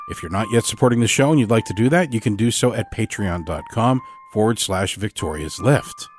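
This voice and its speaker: A man's voice: fairly low pitch, expressive, fairly quick. A man speaking as host, giving a scripted introduction to an audio drama podcast. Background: music.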